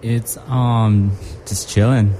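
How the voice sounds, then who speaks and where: A man guesting on a radio show as a musician, giving quiet, low-key short answers from off-mic. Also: fairly even intonation, slow, background noise.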